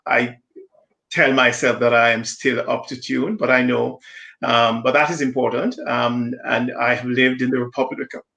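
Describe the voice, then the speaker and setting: Man, from Jamaica, gravelly voice, middle pitch, thoughtful and halting, video call guest. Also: fairly bright tone, roomy sound. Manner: thoughtful, halting with many restarts. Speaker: diversity consultant guest. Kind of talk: conversational live-stream discussion.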